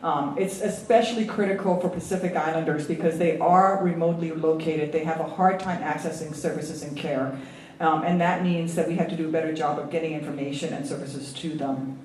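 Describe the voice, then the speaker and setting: A woman with a slight Hawaiian accent speaking on a panel as a federal agency official, earnest and halting, with many ums and restarts. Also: low voice, fairly even intonation, darkish tone, reverberant, recorded from a distance, rough recording. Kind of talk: panel remarks. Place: event hall at a symposium.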